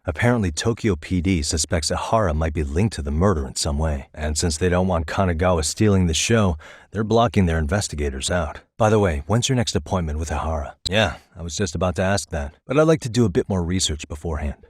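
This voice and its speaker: Low, smooth-voiced male investigator. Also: expressive, fairly quick, dry recording, studio quality. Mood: casual, businesslike. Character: composed, probing male private investigator, adult, dryly confident. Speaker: voice actor in a video game. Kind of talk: naturalistic game dialogue acting.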